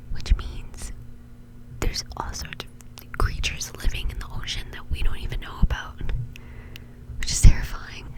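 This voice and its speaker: A woman whispering in an informal, chatty way, rambling casually as an ASMR host. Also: low-quality recording.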